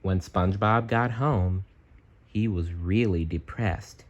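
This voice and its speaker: A man reading a children's storybook aloud, expressive and chatty, with casual asides. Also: sits low, slow, dull audio. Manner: animated, chatty.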